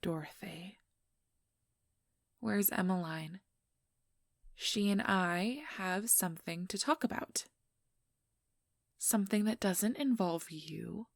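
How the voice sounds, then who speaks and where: A woman's voice: mid-range voice, slow, creaky, pauses often, no room sound, studio quality. A woman voicing a sullen, irritable vampire rival in an ASMR roleplay, curt and seething. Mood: cold hostility.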